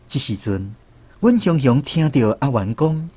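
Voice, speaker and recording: An actor playing a reflective former soldier, narrating a radio drama expressively with a Taiwanese accent. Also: male voice, medium pitch, a little slow, slightly rough. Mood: tense recounting. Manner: expressive storytelling.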